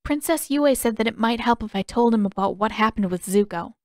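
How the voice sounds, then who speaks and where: Woman reader as determined, guarded teen girl, audiobook dialogue, higher register. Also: brisk, no echo, clean studio sound, close to an everyday voice. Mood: thoughtful. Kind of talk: character dialogue in audiobook narration.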